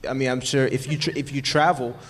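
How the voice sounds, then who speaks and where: A man's voice: mid-range voice, steady tone, quick pace, a bit bright. A man from Belgium, a native French speaker, talking casually on a panel about growing up with rap.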